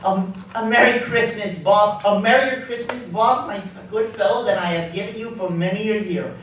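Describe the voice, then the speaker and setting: A man's voice: high voice, a bit bright. A man playing an elderly reformed miser, effusive and theatrical, in a live radio drama. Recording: echoey stage from far off, faint noise.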